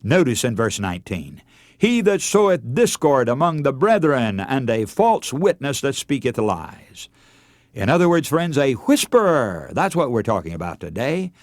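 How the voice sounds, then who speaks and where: A man preaching a radio sermon in an earnest, emphatic way, with dramatic pauses. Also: medium pitch, sing-song, dry recording.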